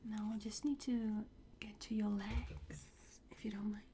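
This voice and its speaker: A woman whispering softly and breathily in an ASMR roleplay, playing a courteous, instructive fitting attendant. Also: mid-range voice, level tone, unhurried, background noise. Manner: soft, polite, instructive. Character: courteous attendant at a time travel suit fitting.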